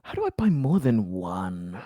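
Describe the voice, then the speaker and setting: A man's voice: on the low side, wildly swooping pitch, measured pace, mellow, no room sound, studio quality. A man chatting casually as a player in a tabletop game.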